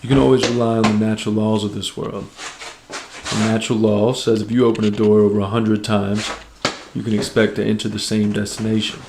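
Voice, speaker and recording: An actor playing a troubled, cynical drug dealer, narrating and talking in a brooding, profane, philosophical way in a film drama. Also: male voice, fairly low pitch, flat delivery, brisk, echoey room, background noise, close to an everyday voice. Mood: anxious, resolved. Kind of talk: dramatic voice-over and casual film dialogue.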